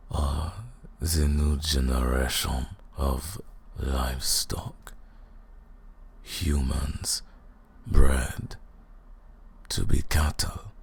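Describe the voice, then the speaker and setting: A man's voice: a bass voice, gravelly, a little mellow, slightly rough, heavily acted. A man playing an ancient vampire lord, cold, mocking and condescending, in a theatrical, menacing roleplay monologue. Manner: slow, menacing, taunting, theatrical. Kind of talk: scripted ASMR roleplay monologue.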